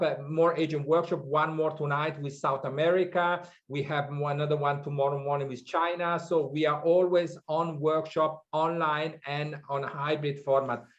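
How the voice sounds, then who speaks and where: A man hosting a workshop from Sydney over a video call, speaking haltingly with many fillers and non-native phrasing. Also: fairly high pitch. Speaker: workshop host. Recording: dull lo-fi call audio.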